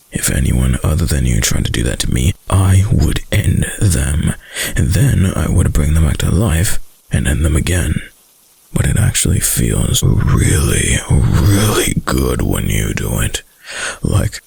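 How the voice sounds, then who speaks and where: Man voicing a very deep, gravelly possessive wolf-boy lover. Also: a little mellow, no echo, exaggerated voice. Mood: blissful, affectionate. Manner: slow, murmured, cooing and possessive. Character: possessive, doting young male wolf-boy lover, dominant. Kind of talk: intimate ASMR boyfriend roleplay. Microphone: close, dry microphone.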